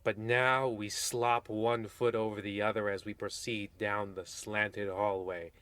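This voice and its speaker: A man's voice: fairly low pitch, fairly bright tone, dry recording. Man as an ominous, pompous radio horror host. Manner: theatrical, deliberate, pompous. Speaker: narrator. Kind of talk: 1940s radio horror parody narration.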